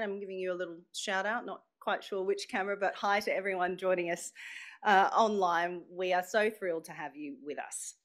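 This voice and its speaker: An Australian woman hosting a live event, speaking to a room full of people in a chatty, playful way that draws the audience in. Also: on the low side. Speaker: master of ceremonies at an event launch.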